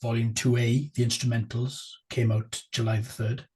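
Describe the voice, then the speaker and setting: A husky-voiced man whose first language is Welsh talks through his band's plans in an easygoing interview. He is eager and upbeat, and often repeats himself with "yeah, yeah, yeah" and "absolutely.". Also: medium pitch, flat delivery, moderate pace, a little mellow. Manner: enthusiastic, repeats words, laughs easily. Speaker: musician guest. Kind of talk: casual interview chat.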